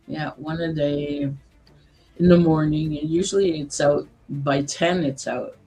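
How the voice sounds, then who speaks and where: A woman with a husky smoker's voice, chatting slowly as a guest on an online call and laughing between halting phrases. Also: low-pitched, fairly even intonation, mellow, faint music behind, rough recording. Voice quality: husky, says she has a smoker's voice. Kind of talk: casual chat.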